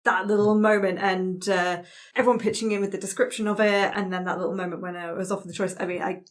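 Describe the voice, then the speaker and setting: Woman chatting at a medium pitch. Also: little pitch movement, quick pace. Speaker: tabletop roleplay podcast player. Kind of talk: casual group discussion.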